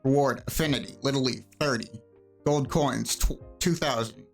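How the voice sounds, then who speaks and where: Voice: mid-range voice, moderate pace, gravelly, faint music behind. A let's-play host reading game text aloud and commenting on it with wry, playful asides. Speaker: host playing and reading aloud a visual novel.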